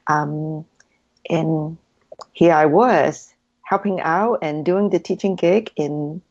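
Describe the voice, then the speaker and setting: Gravelly woman, native Cantonese speaker. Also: low-pitched, takes it slowly, muffled recording. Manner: effusive, warm-hearted, frequent ums. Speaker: guest, hypnotherapy practitioner. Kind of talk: casual interview chat.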